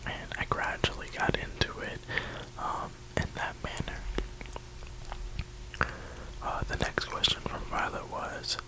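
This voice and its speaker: A man's voice: slow, long pauses, poor audio. A young man in his early twenties, from New York and Florida, whispering through a rambling Q&A and repeating his words, sitting close to the mic. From New York and Florida, USA. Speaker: ASMR creator. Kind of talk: whispered ASMR Q&A.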